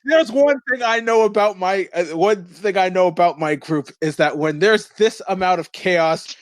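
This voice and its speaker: A man's voice: sits high, normal speed, dry recording. A man chatting casually as a player in a tabletop game, blunt, slangy and profane.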